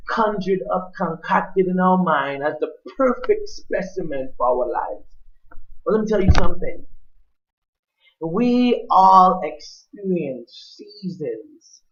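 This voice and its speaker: A man's voice: on the high side, highs cut off. A man preaching a sermon in an emphatic, oratorical way, with ornate wording and rhetorical repetition.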